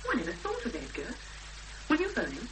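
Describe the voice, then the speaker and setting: An anxious young woman with a highish, lively voice in a seventies radio drama. She is determined yet hesitant, thinking as she goes. The old broadcast recording is clearly hissy. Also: rough recording. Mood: uneasy, insistent. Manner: hesitant, thoughtful, with pauses. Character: anxious, determined young woman seeking her missing sister. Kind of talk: 1970s radio drama acting.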